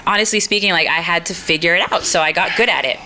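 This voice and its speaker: Candid podcast guest, woman with a lowish, sharp, bright tone who tells her story frankly. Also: quick pace, low-quality recording. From born in Guatemala, raised in Houston and New Jersey. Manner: candid, laughing often, swears casually. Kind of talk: casual storytelling interview. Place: recording studio.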